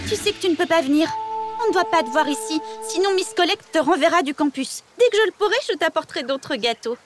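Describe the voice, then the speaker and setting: A sweet earnest cartoon teen. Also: female voice, very high-pitched, expressive, quick pace, music in the background. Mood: tender. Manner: warm, earnest, talkative. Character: sweet caring teen girl, quick to apologize. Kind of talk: French cartoon dubbing.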